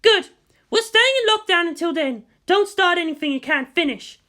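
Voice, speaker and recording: High-pitched female commander acted out by a narrator, furious, defiant, sharp, swearing, military audiobook drama. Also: lively intonation, moderate pace, heavily acted. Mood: hard, defiant.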